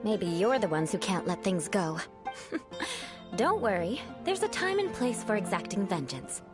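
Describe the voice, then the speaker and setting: A woman's voice: medium pitch, sing-song, a little slow, over music. A woman playing a proud, aloof young aristocrat who lectures formally and precisely in a video game. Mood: cool, teasing. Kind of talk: video game character dialogue.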